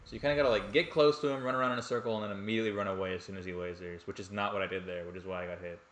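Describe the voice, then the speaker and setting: A man's voice: lowish voice, fairly even intonation, racing, a bit bright, echoey room. A young man casually commenting on his live game stream at home, rambling, joking at his own expense and swearing freely. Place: home room at night.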